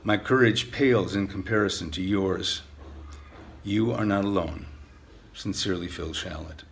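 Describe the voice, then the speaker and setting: An older man reading aloud a personal letter of support in an earnest, measured way. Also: low voice, gravelly, slight background noise. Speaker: letter writer.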